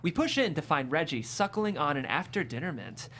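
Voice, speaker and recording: Stage directions reader: mid-pitched, descriptive, amused, scripted comedy narration, slight room noise. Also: big pitch swings, brisk, a bit bright. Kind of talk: scripted screenplay narration at a table read.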